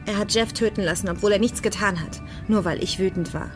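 A woman's voice: medium pitch, fairly quick, over music, natural voice. An actress playing a defiant, candid young woman in a crime audio drama. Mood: confiding. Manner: candid, headstrong.

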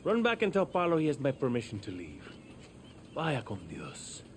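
A condescending smuggler boss, swooping intonation. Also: male voice, mid-range voice, huge pitch swings, a little hiss or hum. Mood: courteous menace. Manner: courteous, condescending, unhurried. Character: smooth-talking, coldly menacing male smuggler boss. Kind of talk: video game cutscene acting.

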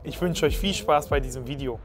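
Energetic male host, high voice, faint music bed, lapel mic in a sports hall. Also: a little fast. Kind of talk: upbeat football show hosting and ad read. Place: indoor football hall.

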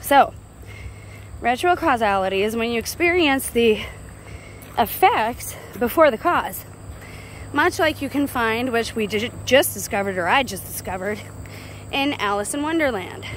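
A woman's voice: a little high, animated, unhurried, low-quality recording. An American woman vlogging in a casual, chatty, digressive and confiding way as she walks along and talks into a phone. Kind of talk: casual walk-and-talk monologue. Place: outdoors walking along a rural road. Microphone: handheld phone. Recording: clear outdoor roadside noise.

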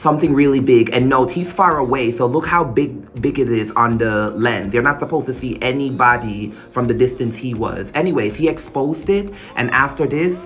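A man's voice: mid-range voice, moderate pace, few pauses. A young man narrating an explainer to camera as a content creator. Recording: slightly muffled, lo-fi sound. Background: faint music.

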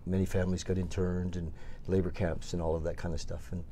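Middle-aged man, host, low voice, warm dark tone, rambling talk. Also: little pitch movement, fast, breathy edge. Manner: rambling, many restarts and fillers. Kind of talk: casual interview chat. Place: small shop room with shelves, indoors.

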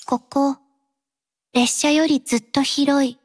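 Anime game mercenary, young female: high pitch, unhurried, quiet, terse, earnest and reserved. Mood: soft, reserved. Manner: quiet, terse, halting, flatly earnest delivery. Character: reserved, dutiful young female mercenary robot-arm mechanic. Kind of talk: Japanese anime-style mobile game voice lines.

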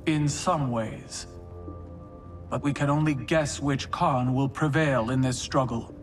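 A performer heard as a man, playing a proud, skeptical warrior queen in a video game, with stately, deliberate, formal delivery. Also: mid-range voice, soft background music. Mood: guarded, proud. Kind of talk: video game cutscene acting.

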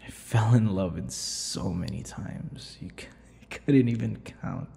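A man playing a brooding, unhinged male vampire in an intimate ASMR roleplay, breathy and halting, often dropping to a whisper. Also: on the low side. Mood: wistful, hurt. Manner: slow, halting, dramatic pauses and dark chuckles. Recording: long reverberant echo.

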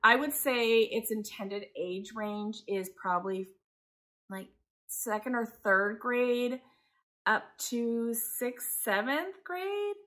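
A woman's voice: fairly high pitch, lively intonation, takes it slowly, sharp tone. A woman in her forties from Taiwan, a homeschooling mother talking in a casual, rambling, conversational vlog style. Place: living room by a window, indoors.

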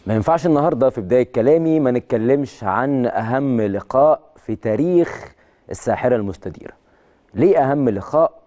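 A man in his thirties with an Egyptian Arabic accent and a hoarse voice, presenting sports news in a studio and talking emphatically, with excited peaks on key words. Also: medium pitch, quick pace. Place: large TV studio with video wall.

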